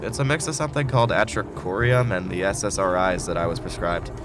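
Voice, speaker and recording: A man's voice: low-pitched, fast, close to an everyday voice. A man acting in an audio drama as a wronged, resolute man who outwits his tormentor in a time loop. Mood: cold, triumphant. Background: loud music bed.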